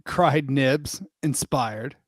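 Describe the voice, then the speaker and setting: A man's voice: medium pitch, drawn-out words, no room sound, clean studio sound. A man narrating a storybook as part of an online group reading.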